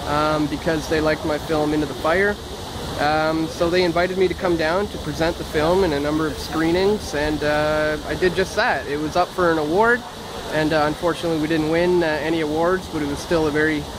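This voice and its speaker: Guy talking in a park, kinda high voice, lots of ums, street noise behind. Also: steady tone, brisk. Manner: hesitant, many ums and uhs. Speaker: interviewed filmmaker. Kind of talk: casual street interview. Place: outdoors in a city park.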